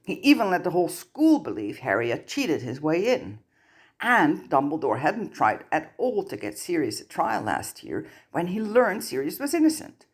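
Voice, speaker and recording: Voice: mid-range voice, wildly swooping pitch, moderate pace, slightly rough. A narrator reading fan fiction aloud as scripted story narration. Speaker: audiobook narrator.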